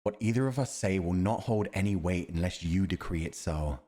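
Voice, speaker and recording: Male actor as steadfast fantasy war commander: very low voice, formal, measured, honourable. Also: warm tone. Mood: deferential. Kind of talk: fantasy audio roleplay acting.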